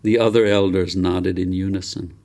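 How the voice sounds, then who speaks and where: A man reading a novel aloud in a measured, deliberate storytelling manner. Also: sits low, fairly even intonation, darkish tone. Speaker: author reading from his novel. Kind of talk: scripted audiobook narration.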